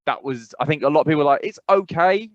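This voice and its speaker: A man's voice: fairly high pitch, fast, no echo. A man co-hosting a casual podcast, rambling with lots of fillers and restarts. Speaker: podcast co-host. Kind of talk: casual chat. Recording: dry, slightly dull sound.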